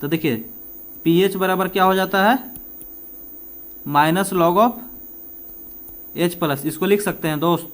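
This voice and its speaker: High-pitched man teaching exam questions. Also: slow pace, pauses often, fairly bright tone. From India. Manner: conversational, asks and answers own questions, switches between Hindi and English. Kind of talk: informal lesson working through practice questions.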